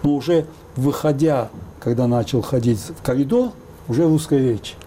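An older man from Baku, raised speaking both Azerbaijani and Russian, thoughtfully recalling the past in an interview with many pauses and fillers. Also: mid-range voice, dark tone, a little hiss or hum. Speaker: interview guest. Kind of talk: reflective interview talk.

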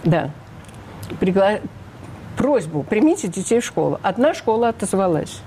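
A woman's voice: medium pitch, sing-song, moderate pace, warm tone, a little hiss or hum. An older woman speaking as an interview guest, emphatic and indignant, often asking rhetorical questions. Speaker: interview guest, refugee rights advocate. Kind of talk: radio interview.

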